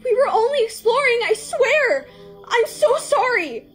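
A woman voicing an excitable, reckless young female cat in an animated comic dub, with a bubbly, theatrical, teasing manner. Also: very high voice, a little slow, faint music behind, heavily acted. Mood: frantic, grateful. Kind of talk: comic dub character acting.

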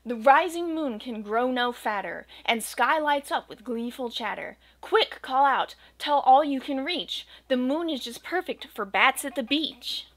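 A young woman reading a rhyming children's picture book aloud at home, with a gentle, expressive storytelling cadence. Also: high-pitched, a little slow, few pauses. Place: small home room with bookshelves and green screen.

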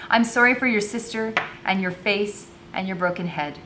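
A woman's voice: moderate pace, close to an everyday voice. A woman voicing an earnest, apologetic teenage boy who pleads urgently in a story. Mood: pleading. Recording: noisy recording with low rumble.

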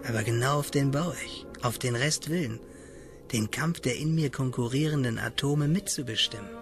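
An actor playing a shaken survivor in a radio drama, wry and given to solemn, philosophical musing. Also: male voice, mid-range voice, normal speed, over music, close to an everyday voice. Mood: wry, intense. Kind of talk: German radio drama dialogue.

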